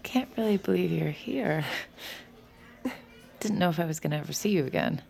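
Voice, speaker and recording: An actress playing a flustered, warm-hearted working woman in naturalistic audio drama, speaking casually and haltingly. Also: lowish voice, expressive, vocal fry. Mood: tender.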